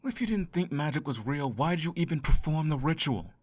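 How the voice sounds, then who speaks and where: A man's voice: fairly high pitch, animated, fairly quick, a little mellow, husky, no echo. A man voicing a sardonic, seductive male incubus in an ASMR roleplay, theatrical and dryly sarcastic. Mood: incredulous, exasperated. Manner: theatrical, sighing, dry sarcasm.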